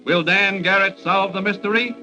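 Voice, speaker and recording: Suspenseful 1940s serial announcer, raised pitch, theatrical narration over music. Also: male voice, fairly even intonation, moderate pace, pushed and bright. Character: dramatic serial announcer posing cliffhanger questions.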